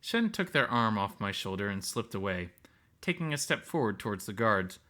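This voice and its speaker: Bright-voiced man narrating a story. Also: fairly low pitch, very animated, normal speed. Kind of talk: scripted first-person story narration.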